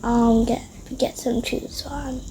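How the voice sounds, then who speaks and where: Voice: medium pitch, little pitch movement, slow pace, very noisy. A player improvising a roleplay story over a game, murmuring along as if talking to themself. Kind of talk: improvised roleplay narration over a toy-house game, voicing family members.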